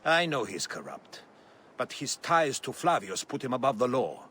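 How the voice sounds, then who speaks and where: An actor playing an earnest, educated Greek politician in a video game. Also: male voice, medium pitch, very animated, moderate pace, sharp tone, slight background noise. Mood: frustrated.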